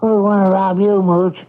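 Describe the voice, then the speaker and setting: An actor playing a sly coin dealer in an old-time radio drama, needling and impatient as he bargains. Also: high-pitched. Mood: coaxing. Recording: muffled vintage radio broadcast recording.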